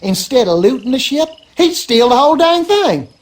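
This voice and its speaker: High-pitched folksy Southern swamp yokel. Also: male voice, very animated, normal speed. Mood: friendly, storytelling. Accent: rural Louisiana Southern American. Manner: folksy, drawling, colloquial storyteller. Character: gossipy superstitious Southern backwoods swamp husband. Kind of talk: animated game character dialogue.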